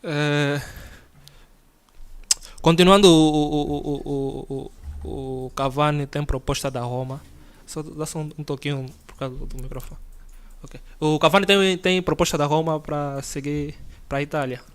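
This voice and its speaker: A man's voice: a little high, steady tone, measured pace, clean tone. A man hosting a sports interview show, friendly, laughing often and using slang. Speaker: news show host interviewing.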